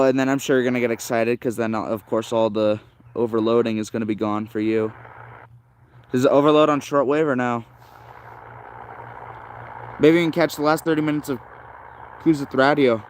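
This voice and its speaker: A man hosting a hobby live stream, chatting casually and haltingly as he thinks aloud like a keen enthusiast. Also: mid-range voice, a little hiss or hum, rough recording. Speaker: host of a shortwave radio listening stream.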